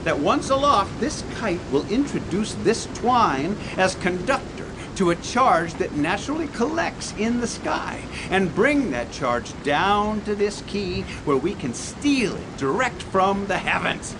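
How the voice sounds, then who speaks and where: A pompous, animated inventor father. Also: high-pitched, a little slow, hardly any pauses, background noise, exaggerated voice. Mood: grand, excited. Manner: grandiose, blunt, theatrically condescending. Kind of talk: comic radio theatre acting.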